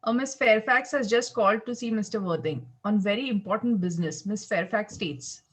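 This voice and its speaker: A woman reading the part of a deferential household butler in an amateur play reading, with a husky voice. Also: mid-range voice, moderate pace, lightly acted. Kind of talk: amateur play table read.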